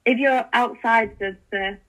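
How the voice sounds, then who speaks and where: A woman's voice: a little high, steady tone, measured pace, rough recording. A young woman, a podcast guest, chatting enthusiastically with lots of fillers and restarts. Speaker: podcast guest, language learning founder. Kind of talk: casual interview chat.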